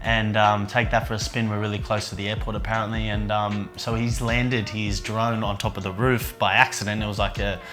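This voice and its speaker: A man's voice: lowish voice, little pitch movement, fairly quick, few pauses, faint music behind. A man, an event organiser and men's coach, talking earnestly and reflectively in a documentary interview. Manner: soft-spoken, earnest, with fillers.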